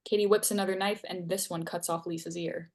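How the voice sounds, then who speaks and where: A woman's voice: lowish voice, steady tone, fairly quick, a little mellow. A woman narrating scripted action as the stage-direction reader at a live screenplay table read.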